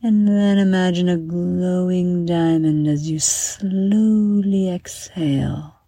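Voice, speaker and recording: A woman with a low, mellow voice leads a guided bedtime meditation. She reads in a soothing, unhurried way, with a soft husky breathiness as phrases end. The recording is close and dry. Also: fairly even intonation, dry recording. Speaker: guided meditation narrator and host.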